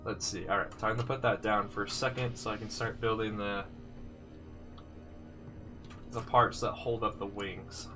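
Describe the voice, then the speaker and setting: A man's voice: fairly low pitch, steady tone, a little slow, pauses often. A man with a slightly congested voice, chatting casually on a live stream as he builds sets. He thinks aloud and answers viewers' comments. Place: indoors, his room. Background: faint music playing.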